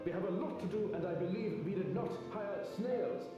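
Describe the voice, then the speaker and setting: A man's voice: sits high, normal speed, reverberant, lo-fi sound, over music, lightly acted. A man playing a fussy, prim and exasperated party organizer in a visual novel game.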